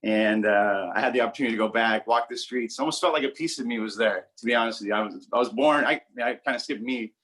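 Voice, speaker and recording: A man's voice: medium pitch, fast talker, few pauses, a bit bright. A man in his forties from Southern California, talking casually as an interview guest and often repeating words as he searches for what to say. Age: 40s. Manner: rambling, repeats words while searching for phrasing.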